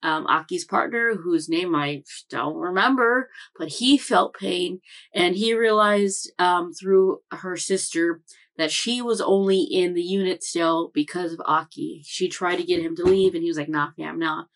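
A woman's voice: lowish voice, unhurried, a bit bright. A young woman hosting an anime reaction channel, rambling casually from a room at home, with lots of fillers and self-corrections. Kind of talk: casual chat recap.

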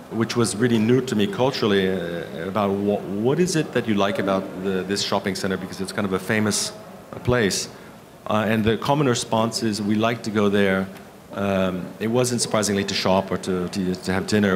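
A man in his fifties giving a conference keynote as an architect, with a halting delivery full of pauses. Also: fairly low pitch. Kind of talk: conference presentation with slides. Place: conference hall stage at a lectern. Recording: clear background room noise.

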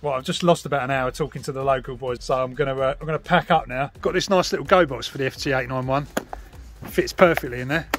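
A man's voice: mid-range voice, fast talker, slight background noise. A man, giving a casual, chatty explanatory vlog as a hobbyist presenter. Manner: informal, chatty. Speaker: radio hobby vlogger.

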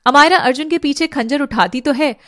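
A woman's voice: high voice, a little fast, fairly bright tone, no room sound, clean studio sound. A woman giving dramatic scripted narration of a fantasy tale for a cartoon. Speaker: storyteller.

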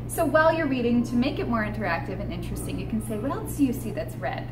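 A woman in her thirties presenting a storytime for young children in an animated, expressive way, recorded indoors a few steps from the camera. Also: on the high side, quick pace, music in the background. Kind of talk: read-aloud and tips for young children. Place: indoor room with painted mural wall. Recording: roomy echo, noticeable background noise.

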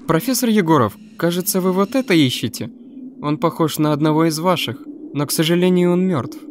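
A man's voice: fairly high pitch, expressive, fairly quick, close to an everyday voice. Man acting a wary stalker guide, noisy ambience. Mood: matter-of-fact. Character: seasoned stalker guide, wary, world-weary, fond of the Zone. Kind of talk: dubbed animated web series dialogue.

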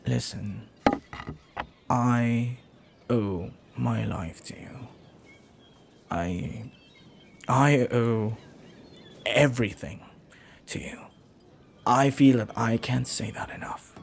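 A man playing a flirty, earnest pirate captain in an intimate audio roleplay, speaking softly and haltingly with tender devotion. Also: medium pitch, creaky, slight background noise. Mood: earnest, grateful. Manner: soft, halting, tender, sometimes near whisper.

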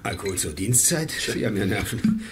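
A man's voice: fairly low pitch, animated, brisk, very noisy, close to an everyday voice. An actor playing an assertive, shrewd male passenger in a German radio crime drama, confident, dry and probing. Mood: dry, challenging.

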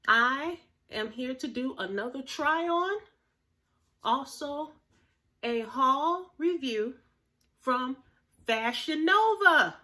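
Woman, 30s, upbeat home vlogger; slightly high, unhurried, a touch nasal; phone-mic close. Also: expressive, bright, forceful tone. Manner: chatty, upbeat, addresses viewers directly. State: slightly nasal, recovering from illness. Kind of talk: casual haul vlog. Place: small room at home, plain grey wall.

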